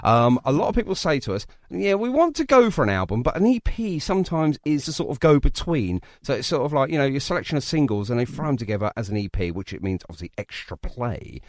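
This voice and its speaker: A man's voice: medium pitch, darkish tone, no room sound, clean studio sound. A middle-aged man hosting a chatty music interview, talking in an enthusiastic, rambling way. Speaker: radio and podcast host.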